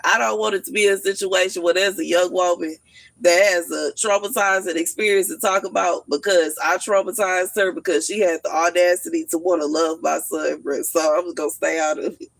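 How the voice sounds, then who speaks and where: A woman in her thirties on a casual livestream, telling stories in an animated, slangy way and laughing often. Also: on the low side, fairly quick, creaky, no room sound, rough recording. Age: 30s. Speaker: livestream host.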